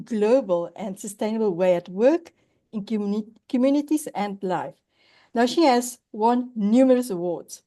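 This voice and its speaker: A woman's voice: mid-range voice, mellow. A woman hosting a video-call interview, introducing her guest in an effusive, emphatic way. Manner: effusive, emphatic, spiritual phrasing. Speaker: host.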